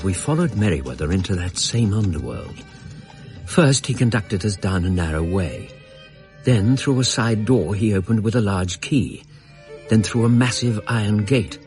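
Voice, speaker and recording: Low, expressive English storyteller played by an actor. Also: male voice, natural voice. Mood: suspenseful. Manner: measured, literary storytelling. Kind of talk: scripted radio drama narration. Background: music.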